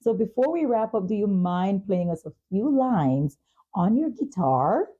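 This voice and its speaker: A woman with a mellow, softly shaded voice at a middle pitch. She speaks as a podcast host, presenting to her audience. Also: big pitch swings, measured pace, breathy edge, muffled recording. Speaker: talk show and podcast host. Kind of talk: interview hosting and scripted sponsor reads.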